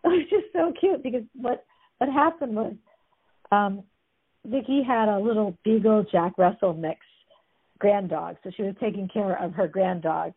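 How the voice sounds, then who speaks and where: A woman's voice: medium pitch, normal speed, breathy edge. A woman chattily retelling stories as a guest, amused, with many fillers. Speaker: guest discussing a book. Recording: tinny phone-like line.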